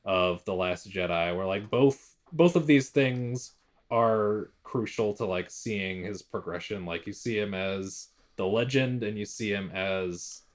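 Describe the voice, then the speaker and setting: A man's voice: lowish voice, unhurried. A man co-hosting a podcast, chatting casually about what he reviews in a tentative, hedging way. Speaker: podcast co-host.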